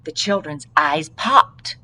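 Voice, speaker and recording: A woman narrating a children's storybook aloud with deliberate, dramatic phrasing. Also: sits low, expressive, sharp tone. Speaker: storybook reader.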